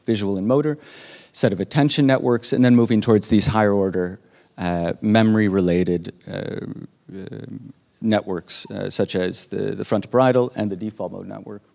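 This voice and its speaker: A man's voice: on the low side, vocal fry, darkish tone. Man lecturing in a reverberant hall. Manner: measured, with frequent "uh" pauses while explaining slides. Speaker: keynote speaker. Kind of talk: academic conference talk.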